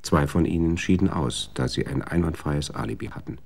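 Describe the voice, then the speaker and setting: Ein Mann erzählt ein Hörspiel gemessen und trocken. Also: male voice, a bass voice, brisk, creaky, a little mellow. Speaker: narrator. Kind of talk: scripted radio drama narration.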